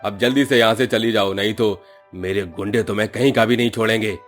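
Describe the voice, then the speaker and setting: A man's voice: fairly low pitch, steady tone, brisk, soft background music, natural voice. Man voicing a bullying, greedy village moneylender. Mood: gloating menace. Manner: bullying, gloating.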